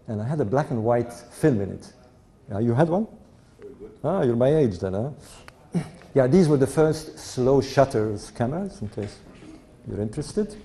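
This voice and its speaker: Older man with a mixed accent, mellow voice. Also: medium pitch. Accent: mixed European-Egyptian. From Egypt. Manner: unhurried, anecdotal, chuckles at his own story. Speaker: speaker giving a talk to an audience. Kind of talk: storytelling talk.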